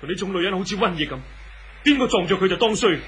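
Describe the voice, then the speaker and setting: A man's voice: sits high, lively intonation, quick pace, crowd noise. A man playing a hot-tempered lover in a 1970s radio drama, declamatory and intense, with a Hong Kong Cantonese accent. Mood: enraged, scornful. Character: hot-tempered, scornful man torn between two women. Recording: faint hiss on old radio recording.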